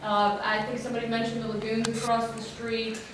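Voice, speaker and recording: Loud-voiced woman presenting at a meeting. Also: fairly high pitch, completely flat delivery, fairly quick. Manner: loud, clear, explanatory. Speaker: engineer presenting a water plan. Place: community meeting room. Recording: echoey room, distant mic, background noise.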